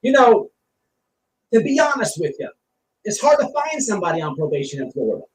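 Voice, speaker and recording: Guy with a high swoopy voice ranting casually, blunt and emphatic, echoey room mic. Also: very animated, brisk. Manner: blunt, emphatic, explains with repeated phrases and examples. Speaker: online streamer giving commentary. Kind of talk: casual opinionated commentary. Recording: roomy, distant mic with faint noise.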